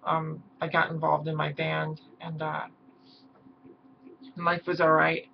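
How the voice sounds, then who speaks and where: A hoarse young woman talking haltingly in a personal vlog, with many pauses and ums. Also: sits low, monotone. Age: young adult. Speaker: vlogger. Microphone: camera mic. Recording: dull lo-fi mic with faint hiss.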